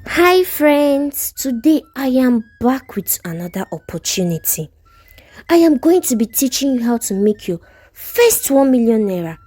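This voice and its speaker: A woman's voice: high-pitched, very animated, unhurried, warm tone, pitched above the performer's own voice, full character voice. A woman storyteller with a Nigerian accent voicing a greedy, cocky, persuasive young woman scammer. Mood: upbeat pitch.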